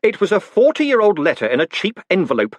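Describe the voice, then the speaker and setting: Man reading as brisk, wry postmaster, lively delivery, dry close mic. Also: on the high side, husky, no echo, voice pushed up, full character voice. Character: quick-witted male postmaster, brisk and wry.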